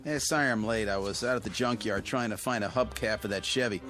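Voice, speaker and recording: An American actor playing an easygoing, eager car lot helper who defers to the boss, in 1960s television drama style. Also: male voice, lowish voice, fast talker, fairly bright tone, lightly acted. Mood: easygoing, joking. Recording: old TV soundtrack with faint music.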